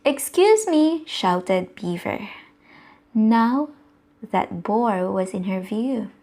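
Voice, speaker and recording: A woman with a Filipino accent reading a rhyming bedtime story, gently asking the children questions. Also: mid-range voice. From Philippines. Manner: slow, gentle, asks the listener questions. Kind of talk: bedtime story read-aloud for kids.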